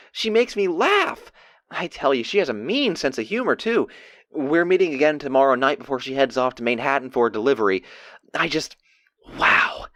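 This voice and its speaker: A man voicing an excitable young pony recording an audio diary in an audio drama, halting, awkward and earnest. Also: mid-range voice, no room sound. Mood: smitten, giddy. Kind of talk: casual diary monologue, acted.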